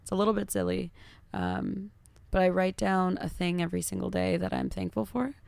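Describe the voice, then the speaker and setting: A woman with a naturally raspy voice, chatting openly and reflectively as a podcast guest, with lots of fillers. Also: lowish voice, lively intonation, fairly quick, a little mellow, dry recording. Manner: open, reflective, heavy use of fillers. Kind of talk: casual podcast chat.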